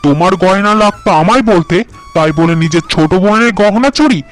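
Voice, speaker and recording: A man voicing a gullible, quick-tempered young farmer husband in a cartoon who scolds his wife and mother. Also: sits high, lively intonation. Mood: scolding. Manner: stern, blustering.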